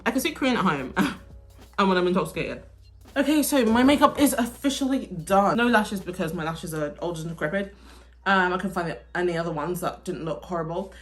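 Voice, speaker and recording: A young woman from the UK chatting casually through a get-ready-with-me Q&A, full of "like" and restarts. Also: medium pitch. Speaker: vlogger, teacher in Korea.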